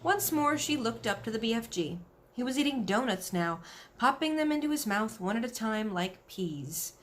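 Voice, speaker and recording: A woman giving an expressive read-aloud of a children's book. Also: fairly high pitch, moderate pace. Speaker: story reader.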